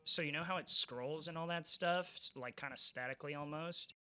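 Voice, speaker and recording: A man's voice: a little high, fairly quick, sharp tone, clear, resonant tone. A young man, a game programmer, chatting casually on a convention panel, rambling with frequent restarts. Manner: rambling, stumbles and restarts often.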